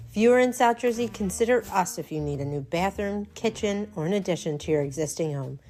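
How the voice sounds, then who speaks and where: Lower-voiced woman reading a local ad. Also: darkish tone. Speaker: ad announcer. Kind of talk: scripted radio ad read.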